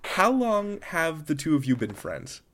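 A man's voice: on the high side, very animated, unhurried, a bit bright. A man hosting an interview podcast, asking questions in a conversational way.

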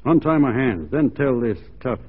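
Folksy American lawman, drawling, wide-ranging pitch, fearless, thin old radio sound. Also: lively intonation, normal speed, slightly rough. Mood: shrewd. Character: folksy, easygoing but fearless Texas lawman. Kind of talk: 1940s radio acting. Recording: tinny, band-limited 1940s radio recording.

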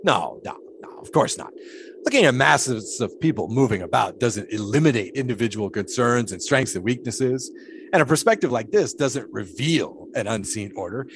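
A man's voice: medium pitch, animated, normal speed, slight background noise, natural voice. An actor playing a grandiloquent, philosophical 1920s showman in an audio drama, musing in wordy, theatrical speeches. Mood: lofty, explaining.

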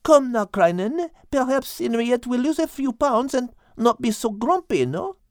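Man plays tiny cheerful female storybook creature: high, dainty, very animated, fond teasing. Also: a little slow, no echo, studio quality, exaggerated voice. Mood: gently coaxing. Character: sweet petite female animal, affectionate and cheerful.

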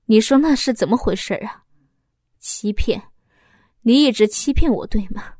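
A woman's voice: mid-range voice, fairly even intonation, measured pace, mellow, no room sound, clean studio sound, close to an everyday voice. A woman voicing a heartbroken, bitter husband in a storybook reading. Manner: bitter, sneering. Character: heartbroken bitter husband, middle-aged man.

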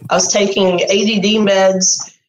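A woman's voice: medium pitch, flat delivery, unhurried, roomy sound, slight background noise, low-quality recording. A woman in her forties with a Texan accent, a guest sharing her health story in an earnest, candid way. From Texas. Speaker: guest, program graduate. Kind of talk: personal testimony.

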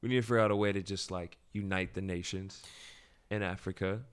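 Low-voiced halting male podcast guest. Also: steady tone, smooth. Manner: loose, halting, thinks aloud with many "like"s. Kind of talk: casual podcast conversation.

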